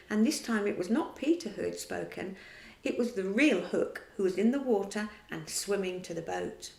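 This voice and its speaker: A woman reading a children's storybook aloud in a slow, expressive way. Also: mid-range voice, vocal fry, reverberant.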